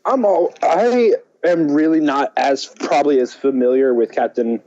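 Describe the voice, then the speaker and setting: A man with a mellow, slightly high voice talks as a laid-back podcast co-host. His chat is casual and slangy, and the recording sounds a bit dull and muffled. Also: normal speed.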